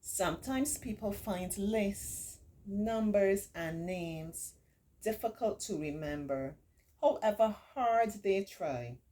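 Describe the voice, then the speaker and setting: A woman reading a picture book aloud to children, with encouraging, simply explained asides and expressive, drawn-out emphasis. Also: mid-range voice. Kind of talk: read-aloud story time with chatty asides to children.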